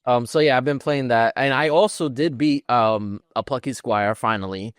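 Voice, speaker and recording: A man's voice: medium pitch, moderate pace, no room sound, studio quality. A man co-hosting a podcast, chatting informally about video games in a self-deprecating way. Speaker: podcast co-host.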